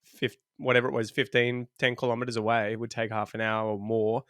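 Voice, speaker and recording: A man's voice: mid-range voice, a little fast, no echo, clean studio sound. A man in his thirties from Australia, an English teacher chatting casually as a podcast guest, laughing often while he tells stories. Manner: laughs often, relaxed storytelling. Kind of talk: casual chat.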